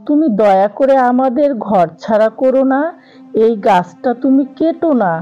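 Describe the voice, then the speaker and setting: A woman voicing a gentle young tree fairy in a cartoon, speaking politely and imploringly. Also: on the high side, muffled recording. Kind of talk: cartoon voice acting.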